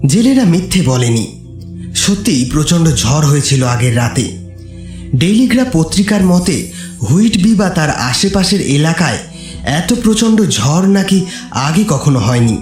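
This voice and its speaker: A man's voice: fairly high pitch, big pitch swings, measured pace, a little mellow. A man narrating a scripted horror story in a dramatic style. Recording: echoey, with music underneath. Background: music.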